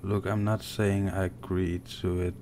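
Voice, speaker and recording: A low-voiced Danish man talks slowly through a game stream, murmuring to himself as he plays and answering viewers. Faint game ambience sits behind him. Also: level tone, noisy background. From Denmark. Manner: soft-spoken and unhurried, muttering while playing. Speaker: gaming streamer. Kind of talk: live gameplay commentary reading chat.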